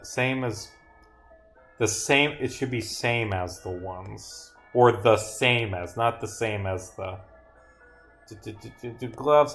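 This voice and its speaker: A man's voice: on the low side, a bit bright. A man thinking aloud on a live game development stream, rambling and muttering as he reads script and corrects himself.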